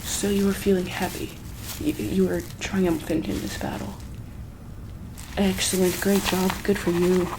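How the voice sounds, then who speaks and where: Slow, creaky-voiced woman doing ASMR roleplay. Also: medium pitch, level tone, background noise. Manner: slow, hesitant, repeats questions gently. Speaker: ASMR roleplayer as monster hospital examiner. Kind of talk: soft-spoken ASMR medical roleplay.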